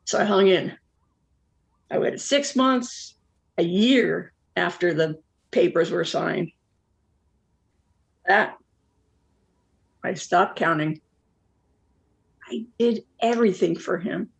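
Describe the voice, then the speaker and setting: Jilted woman for one-act drama, played by an actress: slow, confessional, world-weary, deadpan with a bitter edge. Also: lowish voice, creaky, slightly rough, full character voice. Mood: bitter, devoted. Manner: candid, rueful, deadpan asides.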